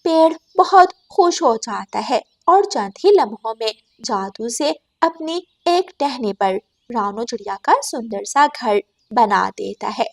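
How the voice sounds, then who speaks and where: A woman's voice: fairly high pitch, lively intonation, slow, few pauses, no room sound. A woman reading scripted narration for a children's cartoon story.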